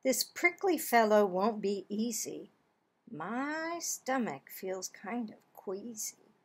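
A woman in her sixties reading a children's picture book aloud, expressively, with drawn-out words and pauses. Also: medium pitch, sing-song, breathy edge. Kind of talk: children's storybook read-aloud. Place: small home office, indoors.

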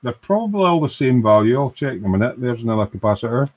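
A man's voice: mid-range voice, big pitch swings, fast talker. A man doing a casual live build of an electronics kit, thinking aloud and counting parts in a workshop. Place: workbench in a home workshop.

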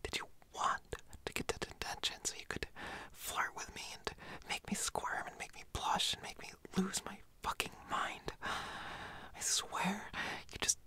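A woman playing a nervous, pouty student in an intimate roleplay audio, stammering and scolding in a breathy, hushed voice. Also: measured pace, whispered, lightly acted. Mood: panicked, scolding.

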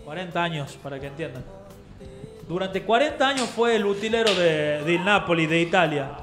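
A man's voice: high-pitched, lively intonation, moderate pace, fairly bright tone. A young man with an Argentine accent, hosting a radio show and joking with his co-hosts in a studio. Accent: Argentine Spanish. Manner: playful, boastful, mock Italian. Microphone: studio mic with headphones. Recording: roomy studio with music behind.

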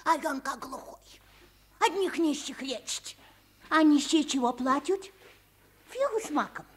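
A woman's voice: high-pitched, expressive, unhurried. A woman playing a grumbling old nanny in a radio play, scolding in homely colloquial dialect. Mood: grumbling, indignant. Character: grumbling old former nanny, scolding everyone, common folk. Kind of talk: Soviet-style radio play acting.

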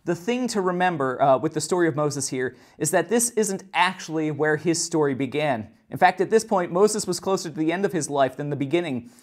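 A man in his thirties leads a children's Bible lesson, reading scripture and then explaining it in an earnest, steady voice. He is recorded indoors in a church room by a camera at desk distance. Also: a little high, fairly quick, hardly any pauses. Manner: earnest, explanatory, with occasional restarts. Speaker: children's ministry teacher.

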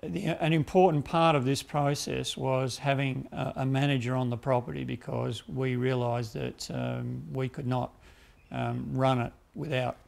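Gravelly Australian grain grower. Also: male voice, medium pitch, level tone, a little mellow. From South Australia. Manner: measured, repeats words, many fillers. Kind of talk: documentary interview answers. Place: outdoors on a farm.

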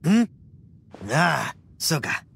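A man voicing a brash, hot-blooded, good-hearted oni gang boss in an anime game, with loud, swaggering, rough speech. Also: fairly high pitch, slow, full character voice. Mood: thoughtful, then announcing. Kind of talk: Japanese anime game dub acting. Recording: music under the voice.

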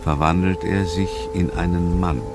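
An actor playing an ominous male storyteller, narrating a dark horror tale in a radio play. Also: low-pitched, steady tone, normal speed, background noise. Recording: loud music and rain over voice.

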